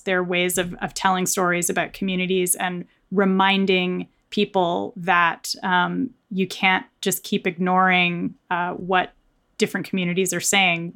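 A woman's voice: lowish voice, a bit bright. An American woman speaking in an earnest, reflective, conversational way as an interview guest, often saying "like" and "you know". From United States. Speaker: author being interviewed.

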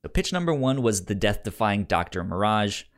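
A man's voice: lowish voice, big pitch swings, normal speed. Man co-hosting a casual podcast, stumbling slightly. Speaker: podcast co-host. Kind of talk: casual pop culture podcast talk.

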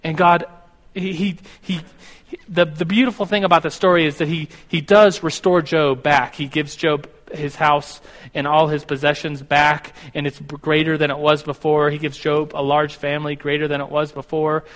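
Man, 30s, slightly high voice, classroom teacher, rambling self-correcting delivery, long reverb. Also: flat delivery, moderate pace, fairly bright tone. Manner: rambling, self-correcting, stumbles over words. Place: classroom with a board.

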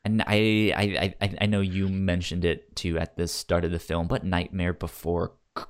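Guy with a low voice chatting about movies on a podcast, stumbles and repeats words a lot. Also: level tone. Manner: halting, stammers and repeats words. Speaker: podcast host. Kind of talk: casual film discussion.

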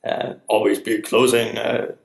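A man hosts a casual podcast in a chatty, rambling way, poking fun at himself. His voice has a gravelly fry. The room around him is echoey. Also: lowish voice, flat delivery, a little slow. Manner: chatty, rambling, self-deprecating. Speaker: podcast host. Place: room in Palo Alto, California.

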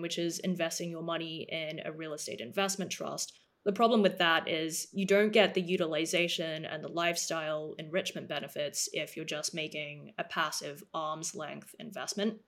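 A woman in her thirties from Australia, a startup co-founder explaining her business in a podcast interview, polished and measured with frequent "um"s. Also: low-pitched, steady tone, a bit bright. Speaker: guest, startup co-founder and CEO.

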